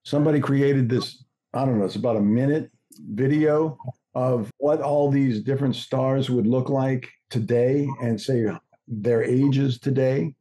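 Middle-aged male voice-over artist, medium pitch, casual podcast guest on a video call. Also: fairly even intonation, unhurried, mellow, poor audio. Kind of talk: casual chat. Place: home room on a video call.

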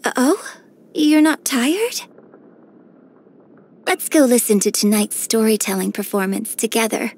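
A woman voicing a graceful, gentle and cheerful young female opera singer in a game, with polite, elegant diction. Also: a little high, lively intonation, unhurried, long pauses, husky, heavily acted. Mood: gentle, warm. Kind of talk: scripted game character voice lines. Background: background music.

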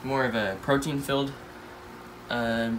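A man's voice: medium pitch, steady tone, unhurried, background noise. A teenage boy with a stuffy nose, rambling casually with lots of fillers while he films his pet tanks at home on a handheld phone. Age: teens. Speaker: pet channel host. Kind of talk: casual pet care vlog. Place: home room full of tanks. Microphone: handheld phone filming tanks.

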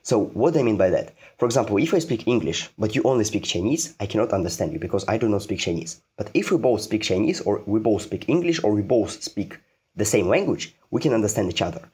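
A man's voice: fairly low pitch, very animated, quick pace, darkish tone, husky. A man giving an informal programming tutorial, repeating phrases for emphasis and posing questions that he answers himself.

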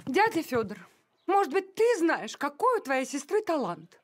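A woman playing a firm, brisk mother in a children's cartoon, expressive and assertive. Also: high-pitched, a little hiss or hum. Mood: probing.

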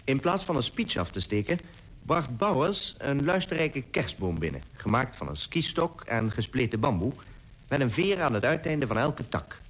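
An actor playing an expedition member who tells lively anecdotes in a radio play. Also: male voice, medium pitch, moderate pace, breathy edge, rough recording. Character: male expedition member telling lively anecdotes of camp life. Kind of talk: scripted radio drama narration.

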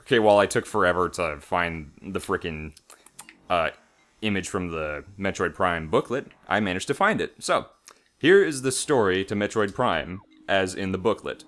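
A man's voice: sits low, expressive, moderate pace, a bit bright. A man hosting a gaming show, bantering casually and reading aloud over a video game.

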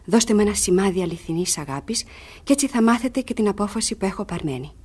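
An actress playing a bold, desperate young woman in love in a radio drama, urgent and expressive. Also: mid-range voice, breathy edge. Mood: urgent, confiding. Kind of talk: theatrical radio drama acting.

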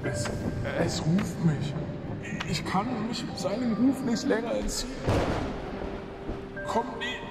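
A tormented male ghost stammers and pleads, voiced by a man, slow and a touch high. He sounds far off in a roomy echo with loud music behind him. This is an audio drama. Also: creaky, full character voice. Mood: desperate. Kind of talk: amateur audio drama acting. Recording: echoey, distant, loud music.

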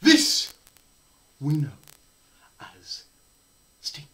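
A young man performing a theatrical scripted lecture, melodramatic, swinging between hushed murmurs and loud cries. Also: extremely slow. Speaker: presenter performing a dramatised physics lecture. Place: small plain room indoors.

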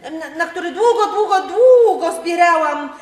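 A woman's voice: extremely high pitch, expressive, normal speed. A woman playing a sweet, eager, devoted sweetheart in an improvised audio drama, speaking in a hesitant, cooing way. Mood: cooing, coaxing. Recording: echoey hall reverb, faint music.

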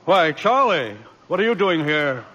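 A man's voice: fairly high pitch, sing-song, unhurried, bright, forceful tone, muffled recording, heavily acted. An actor playing a flustered older judge and grandfather in 1940s radio style. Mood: troubled. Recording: noisy vintage radio recording.

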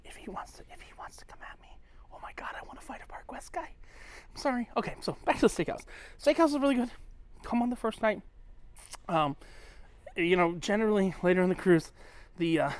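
Tipsy male cruise vlogger, fairly high-pitched, blunt and profane, walking and talking to a handheld camera. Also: animated, fairly quick. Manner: blunt, swearing, ranting, drops to a mutter at times. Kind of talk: casual walk-and-talk vlog. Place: aboard a cruise ship, indoors.

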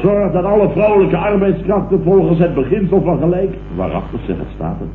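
A self-satisfied, blustering male boss in a 1960s radio comedy. He speaks in a fairly high voice, stammering and repeating himself pompously. The recording is echoey and narrow, with clear noise. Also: expressive, fairly quick. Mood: indignant bluster. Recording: muffled, echoey, hissy vintage radio recording.

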